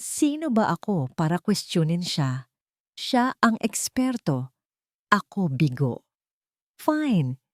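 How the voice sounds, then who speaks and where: A woman's voice: on the low side, sing-song, slow, long pauses, a little mellow, no echo, clean studio sound. A woman with a Filipino accent voicing a troubled, self-doubting wealthy father in an audiobook, terse, hesitant and resigned. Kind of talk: audiobook character dialogue.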